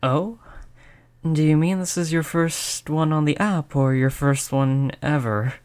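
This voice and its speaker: A performer as a youthful vampire, mid-pitched, courteous, chatty, reassuring, intimate roleplay addressed to the listener. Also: fairly even intonation, measured pace, a little mellow, smooth, natural voice. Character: polite vampire turned young, stuck looking like a child. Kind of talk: audio roleplay spoken to the listener.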